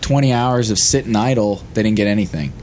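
A man's voice: on the low side, brisk, slight background noise. A man hosting a casual, bantering podcast recorded live in front of people. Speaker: podcast host. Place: live podcast recording before a convention audience. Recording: loud crowd at live convention.

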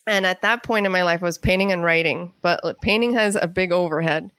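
A middle-aged American woman telling anecdotes as a guest in a casual interview, chatty and quick to act out dialogue. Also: lowish voice, a bit bright, dry recording.

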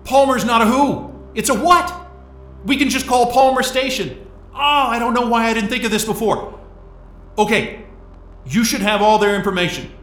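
A man acting in an audio drama as a panicked, exasperated, foul-mouthed man who speaks haltingly. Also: extremely high pitch, fairly bright tone, echoey room, faint music behind. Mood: urgent. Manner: swearing, halting, agitated.

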